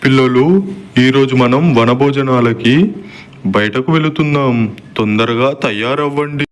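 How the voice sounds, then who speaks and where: A man's voice: medium pitch, steady tone, measured pace, slight background noise, natural voice. A man playing a kindly village grandfather in a cartoon story, with an instructive manner. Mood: caring, cautioning. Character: kindly village grandfather organizing a family picnic. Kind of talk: scripted cartoon story dialogue.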